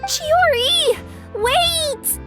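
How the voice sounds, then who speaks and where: A woman's voice: very high voice, expressive, drawn-out words, exaggerated voice. A woman voicing an earnest, polite and anxious young courier in an anime video game, hesitant in manner. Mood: alarmed. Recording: music behind.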